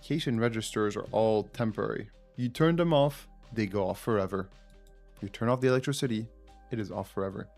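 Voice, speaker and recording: A man's voice: fairly low pitch, a little fast, a little mellow, faint music behind. A man with a French accent, French his first language, hosting a casual live quiz and thinking aloud while chatting with viewers. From France. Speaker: livestream host.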